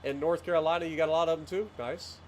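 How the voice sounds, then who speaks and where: A man from Ohio speaks with a light Appalachian twang, relaxed and chatty as he streams and answers viewers. His voice sits a little high for a man. A faint noise runs under the recording. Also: fast talker. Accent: Appalachian (Ohio foothills), mild. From Ohio, USA. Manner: laid-back, chatty, talks to chat viewers by name. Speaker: game streamer. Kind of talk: casual live-stream chat while playing.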